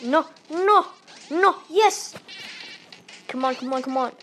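A woman from Malaysia with a Malaysian accent, giving excitable let's-play commentary into a tablet microphone held up to her face, yelping and pleading at the game. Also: high-pitched, takes it slowly, slight background noise. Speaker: gaming channel host.

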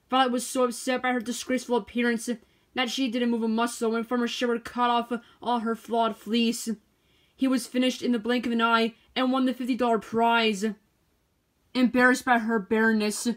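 A woman narrating a children's picture book aloud in an expressive storytelling manner. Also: on the high side.

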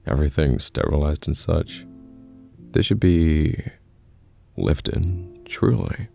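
A man playing a caring, patient boyfriend in an ASMR roleplay, speaking gently and haltingly with reassurance, close to the mic. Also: very deep voice, expressive, vocal fry, exaggerated voice. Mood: calm, reassuring. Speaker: voice actor in an audio roleplay. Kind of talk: soft-spoken ASMR boyfriend roleplay, pillow talk. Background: soft piano or guitar music.

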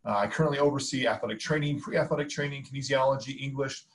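A man introducing himself informally as an admissions counselor on a video call, in a halting, filler-heavy way. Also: mid-range voice, fairly even intonation.

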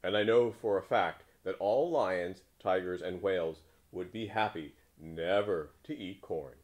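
Man playing an earnest, slow-spoken polite frog. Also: deep voice, darkish tone. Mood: calm, earnest. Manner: plain, deliberate, courteous. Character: earnest, polite young male frog, self-styled prince.

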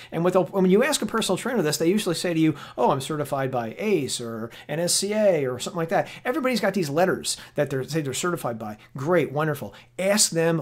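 A man speaks in a voice pitched a little higher than average. He teaches like a personal trainer lecturing over slides, informally and conversationally. He stresses his points firmly, often repeating words as he goes. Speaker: personal trainer and fitness educator.

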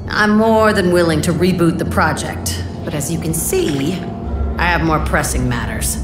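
An actress playing a tough, shrewd resistance leader in a video game, with a firm, businesslike manner. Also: female voice, deep voice, normal speed, lightly acted. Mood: grim, cautious. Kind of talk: video game dialogue acting. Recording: echoey room, music behind.

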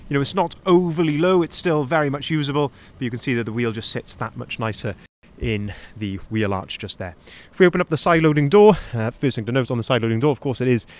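A man's voice: medium pitch, a little hiss or hum. A young man, a sales manager, giving a detailed walkaround of a van for sale outdoors on a cold lot, recorded on a handheld camera. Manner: upbeat, detailed, pointing things out as he walks. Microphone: handheld camera, first-person view.

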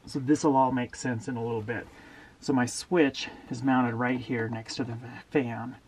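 A middle-aged man walking through a camper wiring upgrade step by step, in a steady, plain-spoken way, recorded in a small indoor space. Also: medium pitch, moderate pace, a little hiss or hum. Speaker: DIY camper owner explaining a wiring upgrade. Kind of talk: hands-on how-to walkthrough.

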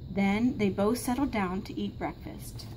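A woman's voice: mid-range voice, unhurried, darkish tone, rough recording. A woman, reading a picture book aloud as a teacher in a classroom. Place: small classroom, indoors. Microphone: camera a short distance away. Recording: faint classroom background noise.

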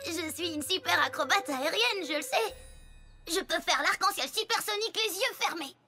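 High-voiced, cocky cartoon pegasus. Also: female voice, animated, moderate pace, music in the background. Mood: boastful, confident. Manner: cocky, bossy, emphatic. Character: brash, boastful young female pegasus pony acrobat. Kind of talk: French cartoon dub acting.

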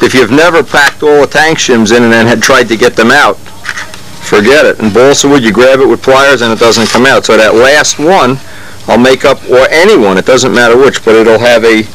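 A man's voice: medium pitch, moderate pace, fairly bright tone, slight background noise, low-quality recording. An older man, a model airplane builder, talks casually while working at his hobby workshop bench, often breaking off mid-sentence and correcting himself.

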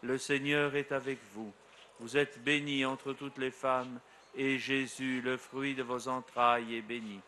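A middle-aged man, a priest, leading a solemn recited prayer in measured, deliberate phrases. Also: medium pitch, little pitch movement, background noise. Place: outdoors at a grotto shrine. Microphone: microphone on a lectern.

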